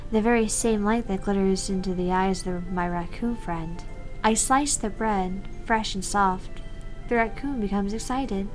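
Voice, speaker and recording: A woman voicing a shy, bookish teenage girl from a visual novel, gentle, intense and hesitant. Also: mid-range voice, lightly acted, poor audio. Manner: hesitant, timid.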